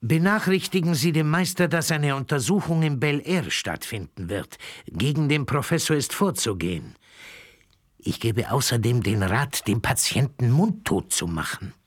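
An actor in a German radio drama playing a fat, scheming Russian correspondent and spy, evasive and dismissive. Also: male voice, medium pitch, lively intonation, moderate pace, slightly rough, no echo. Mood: commanding.

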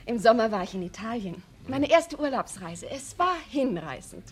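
A woman's voice: a little high, very animated, moderate pace. An actress playing a chatty, flirtatious woman of about twenty in a radio crime drama, eager to impress. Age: 20s. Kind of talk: 1970s German radio drama acting.

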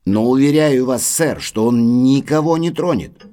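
A man acts an earnest servant in an audio drama. He speaks at a middle pitch, with formal deference to his master, and implores him respectfully. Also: measured pace, mellow, lightly acted. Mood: earnest pleading.

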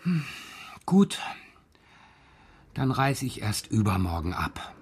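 A man's voice: lowish voice, big pitch swings, slow pace, slight background noise, full character voice. Hesitant, evasive father with swooping intonation. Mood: conceding. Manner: hesitant, stammering, pleading excuses. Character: evasive, dreamy, restless father of a young girl. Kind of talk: children's radio drama acting.

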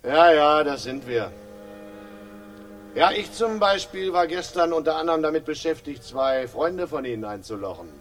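A man's voice: a little high, lively intonation, normal speed, a little hiss or hum, close to an everyday voice. An actor playing a grimly ironic police inspector in a radio crime drama, mock-jovial and hesitant, repeating himself as he needles. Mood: slyly needling. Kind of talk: 1960s German radio crime drama acting.

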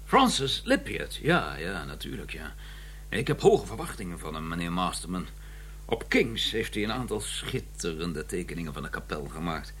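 A man's voice: sits low, sing-song, moderate pace, a bit bright. An actor playing a courteous, earnest academic in a radio drama, hesitant and emphatic, repeating his words when flustered. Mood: assured, pleased. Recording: clearly noisy, humming old recording.

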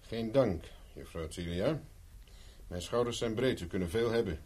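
An actor playing a persistent private investigator in a 1970s radio drama, speaking dry and insistent. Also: male voice, on the low side, moderate pace, darkish tone, slightly rough. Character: dogged male private investigator, cool and persistent. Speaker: private detective Carver.

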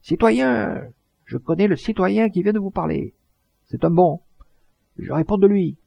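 A man voicing a thin young orator who harangues a crowd in a booming, declamatory voice. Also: very animated, unhurried, gravelly, slightly rough, no echo, heavily acted. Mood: rousing. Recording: dull dry recording.

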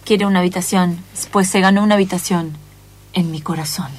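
A woman's voice: lowish voice, steady tone, a little fast, close to an everyday voice. A woman playing a sweet, lovestruck, easily flustered male hotel clerk in an improvised radio play, hesitant and gushing. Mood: smitten.

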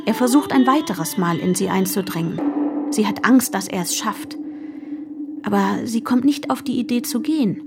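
A woman's voice: medium pitch, moderate pace, background noise, lightly acted. An actress playing a candid young woman in a radio drama reading. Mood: detached, intimate.

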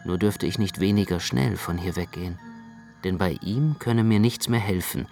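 A man's voice: low-pitched, normal speed, vocal fry, a little mellow, soft background music. A man narrating a radio play in the first person as an anxious, brooding literary storyteller. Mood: anxious, resigned.

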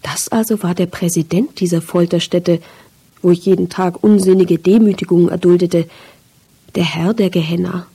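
Husky, creaky, mellow female literary narrator. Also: on the low side, fairly even intonation, moderate pace. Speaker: audiobook narrator. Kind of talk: scripted literary first-person narration.

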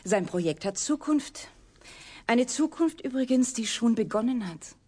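An actress playing a composed, driven young scientist in a German radio drama, speaking in a measured, earnest, formal way. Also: female voice, a little high, expressive, mellow. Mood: resolute.

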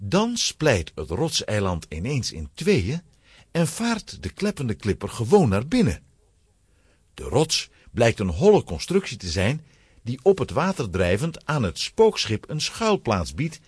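A male narrator tells a children's adventure. His pitch swings widely for drama, and the recording is close and dry. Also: huge pitch swings, measured pace, husky, no room sound. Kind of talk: dramatic scripted storytelling for children.

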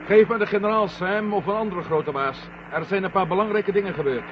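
A man acting a headstrong astronaut in a vintage radio play. His voice sits high for a male, and he talks in a commanding, impatient way. The old recording is dull, with clear noise over it. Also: brisk, lightly acted. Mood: businesslike. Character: headstrong, curious male astronaut on a moon mission. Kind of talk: 1970 Dutch radio drama acting.

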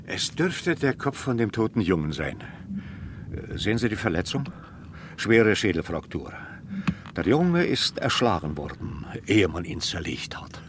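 Clinical country doctor, slow talking, measured, outdoor noise and animals behind, radio play. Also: male voice, on the low side, big pitch swings. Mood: clinical, grave. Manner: measured, explanatory, factual. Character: clinical, unhurried district doctor examining remains. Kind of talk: radio drama acting.

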